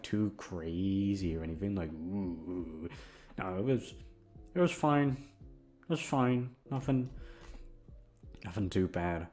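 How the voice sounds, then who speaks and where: A young man talks casually at an unhurried pace, his pitch moving around a lot. He is goofy and self-deprecating, swears freely and wanders from thought to thought. Music plays clearly behind him. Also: a little mellow. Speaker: audio content creator. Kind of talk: rambling casual chat. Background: background music.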